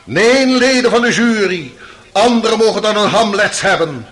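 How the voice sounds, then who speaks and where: High, forceful male courtroom prosecutor. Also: lively intonation, normal speed. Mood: forceful, accusing. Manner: rhetorical, declamatory, solemn. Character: stern, accusing male prosecutor in a Russian murder trial. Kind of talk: theatrical radio play courtroom oratory.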